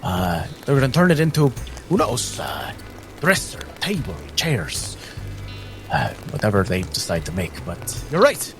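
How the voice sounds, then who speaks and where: A man's voice: fairly low pitch, wildly swooping pitch, measured pace, soft background music, exaggerated voice. A man voicing a fussy, nervous young nobleman in a tabletop game, speaking in a hesitant, effusive way. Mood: feigned confidence.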